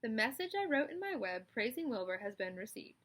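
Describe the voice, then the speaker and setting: A woman voicing a brisk, clever, bossy female spider in a children's storybook, crisp and dryly scornful in manner. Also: on the high side, very animated, a bit bright. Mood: brisk, confident. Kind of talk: children's storybook read-aloud.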